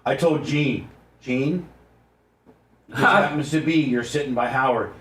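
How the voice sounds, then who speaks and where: A man's voice: medium pitch, a little slow, a bit bright. A male radio host bantering casually and profanely in a blunt, self-deprecating way, picked up roomy and from a distance. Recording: roomy, distant, faintly noisy pickup.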